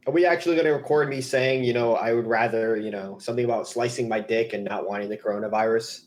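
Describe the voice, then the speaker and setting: A man's voice: medium pitch, fairly even intonation, fast talker, a little mellow. A man in casual group banter on a livestream, rambling and hesitant, with some swearing. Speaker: comic dub cast member.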